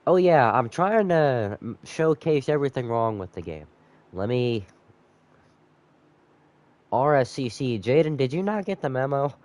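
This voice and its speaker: A man's voice: mid-range voice, sing-song, measured pace, long pauses, darkish tone, no echo. A man sick with a cold, slightly nasal, rambling casually to viewers as he commentates a live game stream.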